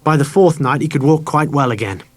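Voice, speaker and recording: A man's voice: a little high, a little fast. Male storyteller reading a children's novel. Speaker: audiobook narrator. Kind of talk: scripted narration of a children's novel.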